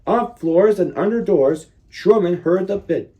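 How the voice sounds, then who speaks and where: A man in his thirties reading a children's picture book aloud expressively, leaning on key words with deliberate dramatic emphasis. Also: high-pitched, mellow, low-quality recording. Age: 30s.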